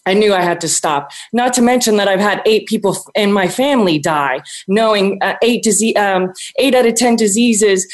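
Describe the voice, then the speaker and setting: A woman in her late forties giving unscripted personal testimony as a guest, haltingly, restating her points. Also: mid-range voice. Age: late 40s. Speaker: interview guest, vegan activist.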